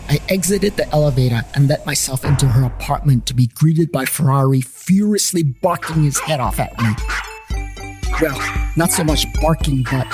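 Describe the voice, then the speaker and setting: A man telling a scripted comic story in the first person, with wry, irreverent asides to the listener. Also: fairly high pitch. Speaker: storyteller narrator and host of a paranormal investigations series. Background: plucked guitar music.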